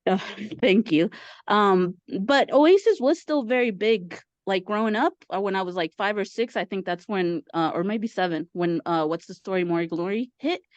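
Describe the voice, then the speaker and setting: A woman's voice: mid-range voice, normal speed, no room sound. Chatty Mexican-born woman on a muffled video call. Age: 30s. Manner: eager, chatty, many fillers. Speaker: caller. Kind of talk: casual chat.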